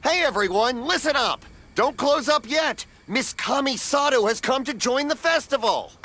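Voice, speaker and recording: Bright, hearty festival stallkeeper. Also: male voice, extremely high pitch, lively intonation, normal speed, heavily acted. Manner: hearty, folksy, chuckling. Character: friendly, generous older festival stallkeeper, man. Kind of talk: video game voice acting.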